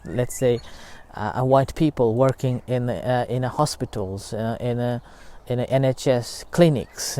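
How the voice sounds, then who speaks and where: A middle-aged man speaks as a meditation teacher in a warm, mellow voice. His comes slowly, full of pauses and restarts, as he reflects aloud. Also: medium pitch. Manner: halting, many fillers and restarts. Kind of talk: unscripted dharma talk.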